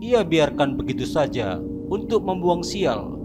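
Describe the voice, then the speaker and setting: A man giving scripted, steady narration for a horror cartoon. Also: a little high, measured pace, heavy background noise. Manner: steady storytelling. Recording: music under voice.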